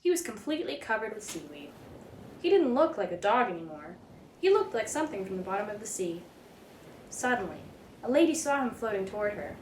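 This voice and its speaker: A woman narrates a picture book for children with a highly animated, swooping delivery. Her voice is on the high side and expressive. The room adds noticeable echo, with a little noise underneath. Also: a little fast. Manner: animated, dramatic read-aloud delivery. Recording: roomy echo, background noise.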